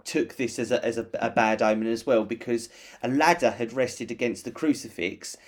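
A man's voice: medium pitch, steady tone, normal speed. A British man co-hosting a casual podcast, chatting with enthusiasm and telling stories. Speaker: podcast co-host. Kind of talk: casual chat.

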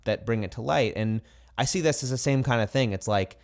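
Guy podcast cohost just chatting, mid pitched voice, keeps restarting and repeating himself. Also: vocal fry. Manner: halting, repeats words and restarts sentences. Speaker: podcast co-host. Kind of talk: casual conversational podcast chat.

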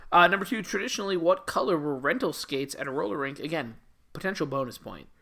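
A man's voice: a little high, animated, fast talker, creaky, pushed and bright. A man co-hosting a trivia show, chatting casually and joking sarcastically as he reads out answers. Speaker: trivia co-host. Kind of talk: casual comedic chat reading trivia answers.

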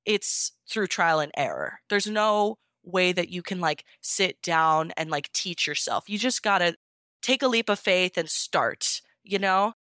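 Smooth, dry-sounding woman chatting casually. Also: fairly low pitch, a little slow, fairly bright tone, dry recording. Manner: enthusiastic, informal, encouraging. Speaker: podfic narrator being interviewed. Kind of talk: casual interview chat.

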